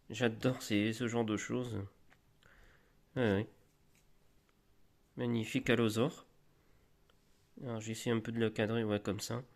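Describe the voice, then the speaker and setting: A man with a slight cold, showing off flea-market finds in a rambling, halting, casual way, with many restarts. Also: mid-range voice. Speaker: collector vlogger. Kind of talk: casual show-and-tell.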